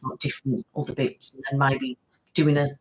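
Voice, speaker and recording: A soft-spoken woman chats casually on her ASMR live stream. She greets her viewers by name and answers their questions in a relaxed, rambling way, with plenty of fillers. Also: very deep voice, moderate pace, low-quality recording. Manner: chatty, reads and greets viewers' comments. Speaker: ASMR channel host.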